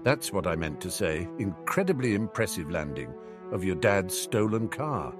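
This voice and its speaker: A man voicing a sardonic, clever schoolboy wizard with a dry, teasing, deliberate manner in an audiobook. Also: deep voice, big pitch swings, music in the background. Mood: smug sarcasm.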